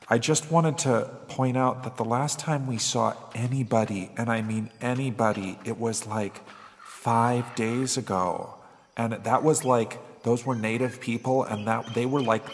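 A man acting in an improvised radio play as a nervous, hesitant, complaining companion lost in the jungle. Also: medium pitch. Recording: long reverb, clear background noise.

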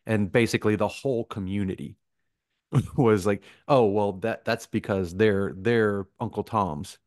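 A man's voice: lowish voice, a little slow, a little mellow, dry recording, studio quality. An American man co-hosting a podcast, talking casually and giving his opinions with exasperated emphasis. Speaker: podcast co-host.

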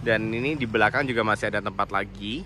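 A man's voice: on the low side, quick pace, background noise. A man casually narrating a walk-through tour of a cafe and guesthouse, pointing things out as he goes, recorded on a handheld phone. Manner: friendly, informal, points things out as he walks. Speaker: vlogger touring a cafe and guesthouse. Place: outdoors and indoors at a mountain cafe and lodging.

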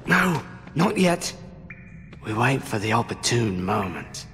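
A roguish pirate drawls wryly in a mid-range, creaky voice. He is sly and self-assured, a game character heard in echoing reverb with faint noise. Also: mid-range voice, big pitch swings, slow, a bit bright, exaggerated voice. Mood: wry, hushed. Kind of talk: dramatic video game voice acting.

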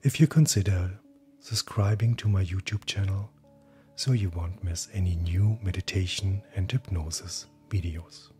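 A man speaking as a hypnotherapist, guiding sleep hypnosis in a calm, gentle, breathy voice that often nears a whisper. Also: deep voice, moderate pace. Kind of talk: guided hypnosis, soothing scripted narration. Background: soft background music.

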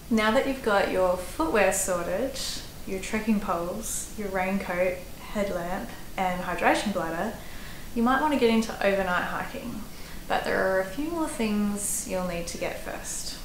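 A woman's voice: medium pitch, expressive, unhurried. Australian woman vlogger, roomy indoor sound. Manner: chatty, practical, with fillers. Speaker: hiking vlogger. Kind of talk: casual gear advice to camera. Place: small room indoors. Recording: roomy echo, faint background noise.

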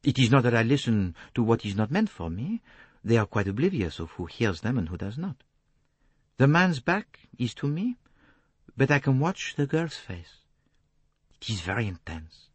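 Guy doing a calm foreign gentleman detective for an audiobook, formal and deliberate, melodic swings, slightly husky. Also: medium pitch, no room sound, pitched above the performer's own voice. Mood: detached, recounting. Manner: placid, detached, formal, deliberate phrasing.

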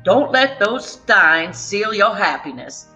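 A woman voicing a friendly, teasing Southern male street vendor in a storybook, folksy and animated. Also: medium pitch, slow, lo-fi sound, faint music behind, exaggerated voice.

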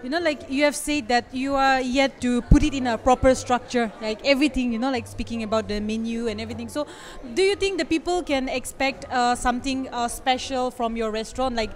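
A woman's voice: high voice, fairly quick, few pauses, faint music behind. A young woman TV reporter interviewing a man in a restaurant, speaking conversationally with many fillers. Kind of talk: field news interview. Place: indoor restaurant. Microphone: handheld mic.

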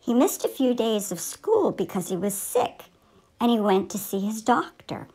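A woman's voice: a little high, big pitch swings, moderate pace, warm tone. A woman voicing a keen, studious fifth-grade schoolgirl in a scripted children's puppet show. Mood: excited.

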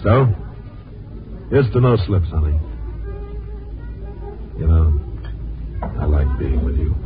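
An actor playing a cocky, ambitious columnist husband in a 1950s radio drama, breezy, confident and slangy. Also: male voice, very deep voice, big pitch swings, slow pace, faint music behind, full character voice. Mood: cocky, self-assured. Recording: muffled old radio recording.

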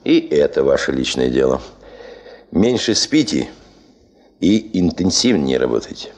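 Deep-voiced stern expedition boss. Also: male voice, big pitch swings, a little mellow, exaggerated voice. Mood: stern, commanding. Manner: curt, imperative, measured. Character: stern, commanding male expedition boss, curt and demanding. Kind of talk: 1970s Soviet radio drama acting.

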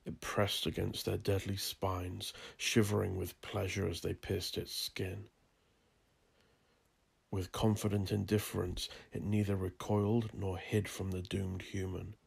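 A man narrating a dark horror story in a brooding, deliberate manner. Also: low-pitched, flat delivery, vocal fry, slightly rough. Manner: slow, brooding, deliberate delivery.

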